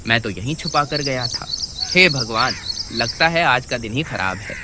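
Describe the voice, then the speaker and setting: A man's voice: mid-range voice, lively intonation, normal speed, background noise, close to an everyday voice. A man voicing a frustrated, sly village thief in a cartoon story, with a whiny, exclaiming manner. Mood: dismayed. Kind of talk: Hindi cartoon moral story dialogue.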